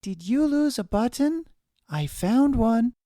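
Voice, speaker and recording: A man's voice: very high voice, expressive, slow pace, warm tone, dry recording, clean studio sound, pitched above the performer's own voice, exaggerated voice. A man voicing a small, polite sparrow in a storybook, speaking in a helpful manner. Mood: polite offering.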